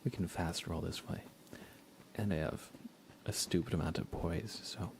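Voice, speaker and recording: Low, creaky-voiced man, hushed gamer chat. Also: fairly even intonation, moderate pace, background noise. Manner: hushed, often slipping into near-whisper. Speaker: livestreamer and game player. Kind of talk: soft ASMR gaming commentary, casual chat.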